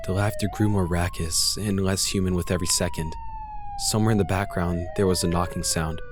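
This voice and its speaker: A man's voice: deep voice, wildly swooping pitch, a little fast, noisy background. A man reading a first-person horror story as a dramatic scripted narration, grave and intense.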